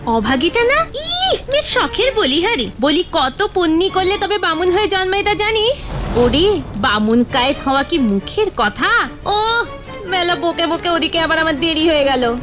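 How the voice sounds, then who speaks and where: A woman's voice: extremely high pitch, lively intonation, a little slow. A woman playing a haughty village woman in a cartoon, sneering, taunting and scolding. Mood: scornful. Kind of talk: exaggerated cartoon voice acting. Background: music.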